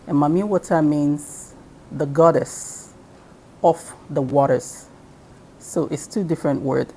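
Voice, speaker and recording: A woman from Nigeria, a guest in an interview, explaining her spiritual practice slowly and carefully with many ums and uhs. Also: low-pitched, dark tone, a little hiss or hum, low-quality recording. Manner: slow and measured, with frequent um and uh fillers.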